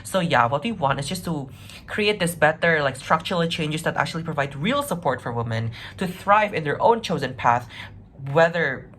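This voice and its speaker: A woman's voice: deep voice, expressive, normal speed, fairly bright tone, slight background noise. A woman giving an argumentative, semi-improvised debate speech, earnest and conversational, often tagging her points with short checks for agreement. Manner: earnest, conversational, frequent 'right?' and 'like' tags. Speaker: competitive debater, first affirmative speaker.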